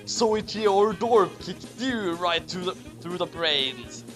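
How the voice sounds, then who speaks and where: A reader performs absurd fan fiction as a comic dramatic reading, taking it slowly and tripping over phrases before repeating them. The pitch swings widely and expressively. Faint music plays behind the voice. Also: expressive. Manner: stumbling over garbled text, repeating phrases.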